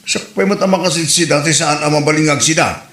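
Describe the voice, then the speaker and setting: A man's voice: on the high side, quick pace, a bit bright, echoey room, close to an everyday voice. A man playing an exasperated, bickering husband and father in an Ilocano comedy drama. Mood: indignant.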